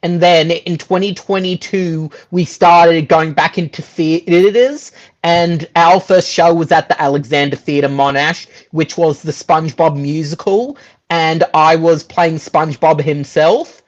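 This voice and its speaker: A man's voice: on the high side, steady tone, unhurried. A man chatting casually as an interview guest about his own experiences. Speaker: guest, musical theatre performer. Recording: dull, lo-fi sound.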